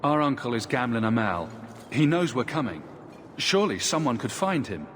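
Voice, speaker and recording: An actor playing an earnest, direct refugee hero in a video game, polite and inquiring. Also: male voice, mid-range voice, very animated, moderate pace, lightly acted. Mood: insistent. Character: earnest, questioning young refugee mage hero. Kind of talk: video game character acting. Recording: clear background noise, outdoor ambience.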